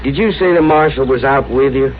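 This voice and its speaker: Through a narrow, lightly hissing 1960s radio recording comes a male actor as a plainspoken horse rancher. He talks bluntly and folksily in a rural Western American accent, in a medium-pitched voice. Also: brisk, close to an everyday voice. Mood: gruff, aggrieved. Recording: phone-like, faintly noisy vintage radio recording.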